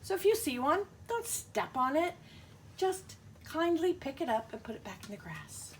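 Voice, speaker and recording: A woman's voice: high voice, very animated, normal speed, a little hiss or hum. A woman teacher reading a picture book aloud, explaining things simply and putting questions to young children. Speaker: schoolteacher reading aloud to pupils.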